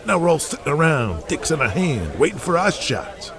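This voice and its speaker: A man's voice: fairly high pitch, sing-song, normal speed, over music. A man playing a brash, resentful, ambitious pro wrestler who complains in slang, in an audio drama. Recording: crowd noise behind the voice. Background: arena crowd.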